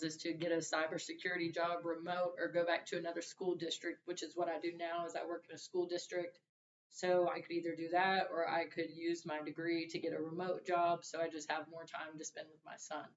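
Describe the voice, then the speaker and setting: A young woman gives a relaxed, conversational introduction speech to her class. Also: moderate pace. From Louisiana and Arkansas. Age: young adult. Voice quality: deep voice. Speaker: student. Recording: roomy, distant, dull mic with faint hiss.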